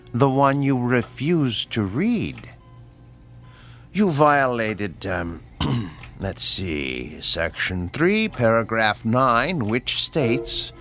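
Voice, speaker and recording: A man playing a sinister tormentor in a radio drama, menacingly polite, deliberate and taunting. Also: medium pitch, a bit bright, exaggerated voice. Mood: accusing, smug. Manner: taunting, deliberate, drops into whispers. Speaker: actor. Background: music.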